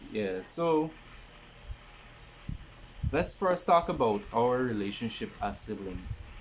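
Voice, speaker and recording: A man in his twenties introducing a podcast in a casual, halting way, often repeating his phrases. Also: medium pitch, expressive, slight background noise, poor audio. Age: 20s. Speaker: podcast host.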